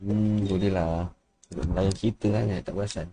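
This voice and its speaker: A man's voice: low voice, normal speed. A Malaysian man chatting casually as he live-streams a game, mixing in English words. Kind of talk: casual live-stream chat while gaming. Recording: faint steady background noise.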